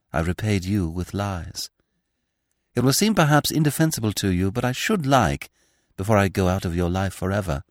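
A man's voice: low voice, no room sound. A male narrator takes the part of a young woman confessing in a farewell letter. The audiobook reading is earnest and remorseful, recorded very dry.

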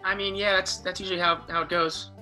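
A high, bright voice of a sullen teenage girl played by a man in a comedy ad skit. She whines and complains in exasperation at her parent. Music plays behind her. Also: flat delivery, fast talker, poor audio. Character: whiny, exasperated teenage daughter studying for exams. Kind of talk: podcast ad skit.

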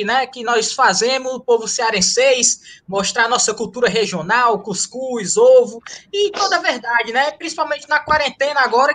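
A man's voice: extremely high pitch, pushed and bright. A man with a Ceará accent, from Rio but raised in Ceará, telling the story of founding his running humor group in a casual, slangy way. He jokes as he goes and keeps tacking on tag questions. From Rio de Janeiro, raised in Ceará. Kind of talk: casual interview over a call.

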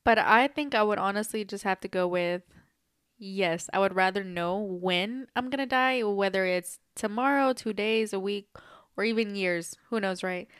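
Young woman, stuffy nose, podcast co-host, animated casual chat, smooth tone. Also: medium pitch, moderate pace, no room sound, clean studio sound. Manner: animated, swearing, playful. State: stuffy from allergies.

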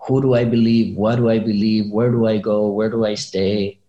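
A man from Chile with a lowish voice, recorded in a roomy, echoing space. He chats casually, thinking out loud as he goes and dropping in slangy asides. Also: little pitch movement, normal speed, highs cut off. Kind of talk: casual chat. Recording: echoey room.

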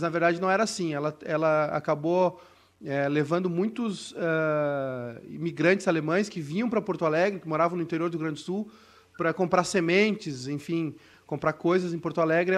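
A man with a southern Brazilian gaúcho accent, chatting casually and telling a story in a halting, rambling way, full of restarts and tag questions. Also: on the high side.

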